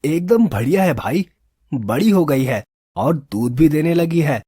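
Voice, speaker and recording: An actor voicing a kind young village man who loves animals and talks in a soft, tender way in an animated storybook. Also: on the high side, a little slow, slightly rough, no room sound, clean studio sound.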